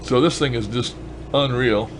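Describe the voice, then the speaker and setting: A man's voice: medium pitch, normal speed, noisy background. A man casually demonstrating a gadget as a hobbyist vlogger, talking in a folksy, colloquial and enthusiastic way. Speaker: ham radio hobbyist vlogger.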